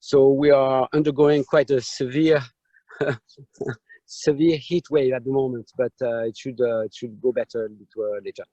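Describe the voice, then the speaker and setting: French-accented man, halting and dull. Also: mid-range voice, fairly even intonation, mellow, no room sound. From France. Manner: halting, many fillers and restarts. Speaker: winemaker. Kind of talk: live video tour and tasting talk. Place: outdoors in a vineyard on a hot day. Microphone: video call. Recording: dull lo-fi video call sound.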